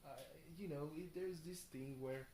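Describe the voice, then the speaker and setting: A tired young man rambles casually through a video diary, halting and thinking aloud, often questioning himself. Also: fairly high pitch, warm tone. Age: young adult. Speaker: video blogger. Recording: roomy echo, faint background noise.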